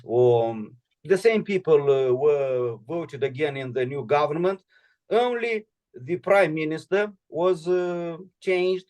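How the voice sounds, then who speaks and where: A man with a Romanian accent and a fairly high-pitched voice, answering questions in English. He talks haltingly, with many "uh"s and restarts as he searches for words. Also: darkish tone. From Moldova. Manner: hesitant, many fillers and restarts. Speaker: guest speaker.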